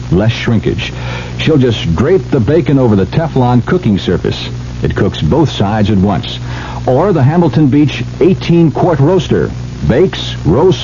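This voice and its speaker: A man's voice: medium pitch, dark tone. A man reading a 1970s radio commercial as an announcer, with folksy sales patter. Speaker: advertising announcer. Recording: muffled old radio, faint music.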